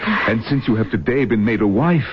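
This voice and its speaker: An actor playing a gentle, fatherly village rabbi in an old-time radio drama, hesitant and questioning. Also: medium pitch, animated, normal speed, close to an everyday voice. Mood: grave, fatherly. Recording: muffled, faintly noisy old radio recording.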